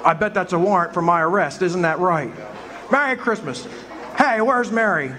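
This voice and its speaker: A man's voice: high voice, moderate pace, crowd noise. A man acting in a live community radio play, voicing an overjoyed, breathless husband and father. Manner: excited, breathless joy. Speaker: actor playing George Bailey.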